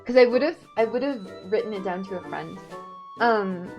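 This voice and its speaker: A woman's voice: medium pitch, moderate pace, a little mellow, lo-fi sound, faint music behind. A woman livestreamer chatting casually while she plays a video game, rambling and self-deprecating. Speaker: streamer, VTuber.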